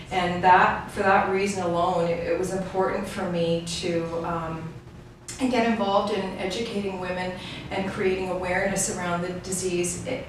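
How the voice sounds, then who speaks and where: A middle-aged woman giving personal testimony in an interview, earnest, measured and reflective. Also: fairly low pitch. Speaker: guest, brand ambassador. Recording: echoey room, distant mic, noisy.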